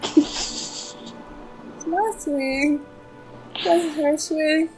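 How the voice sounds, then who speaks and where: A woman's voice: high-pitched, steady tone, extremely slow, music in the background, low-quality recording. A woman chatting and telling stories casually while playing a game. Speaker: video co-host. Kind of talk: casual storytelling chat over a game.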